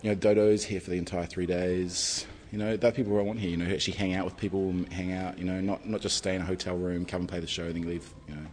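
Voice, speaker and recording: A man's voice: low voice, level tone, fast talker, hardly any pauses, darkish tone. A man organising a music festival, talking in a rambling, enthusiastic way with lots of fillers. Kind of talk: casual interview.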